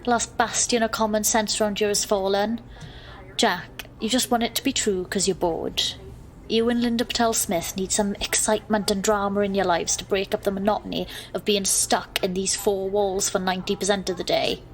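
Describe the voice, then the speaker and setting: A woman's voice: mid-range voice, fairly even intonation, normal speed, natural voice, poor audio. A woman acting in an audio drama as an exasperated, sarcastic, sceptical young best friend. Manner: dry, sarcastic. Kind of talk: audio drama dialogue and first-person narration.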